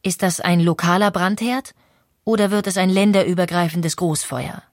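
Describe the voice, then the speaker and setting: A woman narrating a scripted history documentary with clear, even storytelling and rhetorical questions. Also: lowish voice, normal speed, no echo, clean studio sound.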